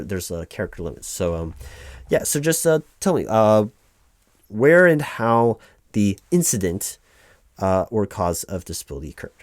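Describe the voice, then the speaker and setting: A man's voice: lowish voice, dry recording, studio quality. A man playing a flustered, apologetic office clerk in a one-sided ASMR roleplay, hesitant and rambling as he corrects himself. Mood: businesslike, distracted. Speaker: claims paperwork interviewer.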